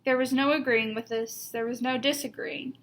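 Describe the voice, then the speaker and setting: A woman with a high voice reads a picture book to children in a teacher's expressive style. She pauses deliberately and leans on key words. There is some faint noise and hum behind her. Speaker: teacher reading a book aloud.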